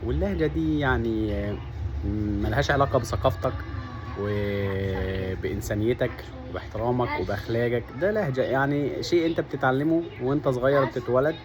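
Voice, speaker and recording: A man's voice: mid-range voice, noisy background. A man from Upper Egypt with a Sa'idi accent, talking earnestly to camera and laying out his points one by one. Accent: Upper Egyptian (Sa'idi) Arabic. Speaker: vlogger. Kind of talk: casual monologue to camera.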